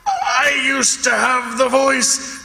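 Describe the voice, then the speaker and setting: A man's voice: extremely high pitch, wildly swooping pitch, takes it slowly, vocal fry, noisy background, exaggerated voice. A man playing a frightened, desperate male prisoner in an old-time radio melodrama, stammering and pleading. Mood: anguished recounting. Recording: echoey hall, faint music behind.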